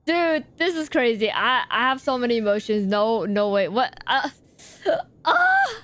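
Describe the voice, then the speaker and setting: Expressive high-voiced young woman streamer. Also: a little slow. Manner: emotional, exclamatory, slangy. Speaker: game streamer. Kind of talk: live reaction commentary. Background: game music.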